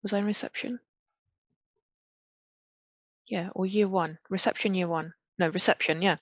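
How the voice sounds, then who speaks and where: A woman from Pakistan, a podcast guest telling a personal story in a reflective, halting way with frequent restarts. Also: mid-range voice, steady tone, no room sound. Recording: dull, dry, lo-fi recording.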